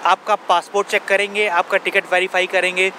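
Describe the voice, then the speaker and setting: A man's voice: high voice, level tone, fairly quick. A young man from India vlogging to a camera held at arm's length on an outdoor lawn, explaining points earnestly and asking rhetorical questions. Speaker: travel vlogger. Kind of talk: casual travel vlog talk to camera. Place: outdoors on a grassy campus lawn. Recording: outdoor noise behind voice.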